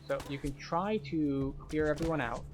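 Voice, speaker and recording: A man's voice: a little high, fairly even intonation, moderate pace. A man giving live gameplay commentary as a tour guide, explaining things step by step. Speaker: game streamer and tour guide.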